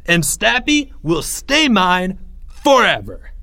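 A man voicing a pompous, defiant schoolboy in an audiobook, declaiming forcefully like a little orator. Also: high voice, big pitch swings, slow pace, voice pushed up, heavily acted. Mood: smug. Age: child. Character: bombastic schoolboy making defiant, pompous speeches. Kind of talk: audiobook character voice.

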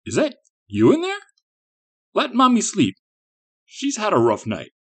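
A man's voice: high-pitched, huge pitch swings, a little slow, fairly bright tone, breathy edge, pitched above the performer's own voice, heavily acted. Man acting menacing brick monster posing as Daddy. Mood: falsely soothing. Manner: falsely soothing, then snarling and roaring. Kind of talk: audiobook character acting.

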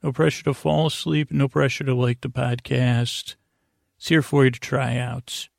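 Man, bedtime podcast host, creaky voice, flat intonation, meandering, halting pauses, sleepy-time rambling. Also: medium pitch, no room sound, clean studio sound. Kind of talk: rambling bedtime monologue to help listeners sleep.

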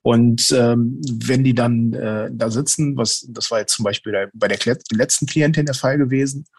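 A man speaks in a conversational interview style about his work. His mid-pitched voice is a little husky and unpolished. He is reflective and often pauses to find the right words. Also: steady tone. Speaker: interviewed amateur ghost hunter.

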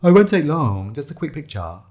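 Man playing an apologetic, patronising photographer, lifted lively pitch. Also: moderate pace. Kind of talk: audio story character voice.

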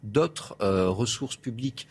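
A man giving measured analysis as a debate guest, hesitating often as he lays out options. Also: medium pitch, expressive, breathy edge. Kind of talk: studio debate.

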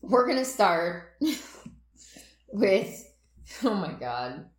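A young woman co-hosting a podcast in casual chat, animated, laughing often and swearing freely. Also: medium pitch, extremely slow, roomy sound. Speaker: podcast co-host.

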